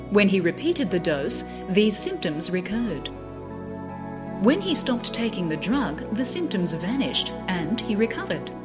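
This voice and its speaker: A woman reading a scripted documentary narration. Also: mid-range voice.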